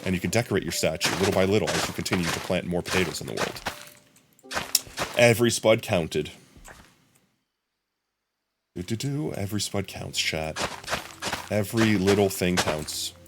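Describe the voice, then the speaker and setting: A young adult man streaming a game live, chatting casually with his viewers in a hoarse, rambling way. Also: sits low, normal speed, pauses often, very noisy. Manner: chatty, rambling, often addressing chat.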